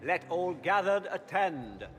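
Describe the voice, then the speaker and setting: A ceremonious court herald announces in a high voice. The fantasy-game delivery is formal and resounds through a hall, with faint music. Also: male voice, very animated, slow, exaggerated voice. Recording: long hall reverb.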